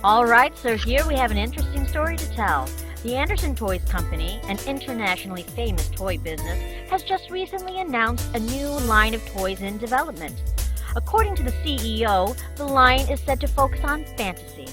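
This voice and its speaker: A woman voicing a female TV news anchor with a bright newsreader delivery over game music. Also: a little high, lively intonation, brisk, natural voice. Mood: announcing.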